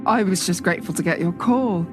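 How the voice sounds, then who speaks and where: A woman's voice: on the low side, a little fast, over music, natural voice. A woman playing a polite, hesitant young college leaver in an audio drama, speaking with some reserve. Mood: apologetic, grateful. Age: young adult.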